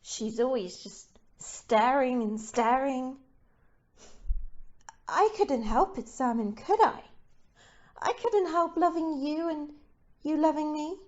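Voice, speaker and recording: A dull lo-fi audio-play recording of a woman playing an anxious young bride. Her very high voice moves slowly, fragile and pleading. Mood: distressed. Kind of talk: amateur audio play acting. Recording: dull, muffled lo-fi.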